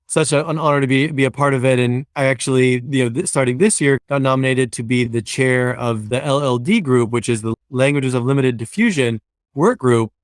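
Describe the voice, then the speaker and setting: Guy hosting a video call interview, smooth clear voice, friendly, some stumbles, dry sound. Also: mid-range voice, fairly quick, no room sound. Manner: friendly, fluent, with hesitant restarts. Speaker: interview host. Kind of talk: virtual interview.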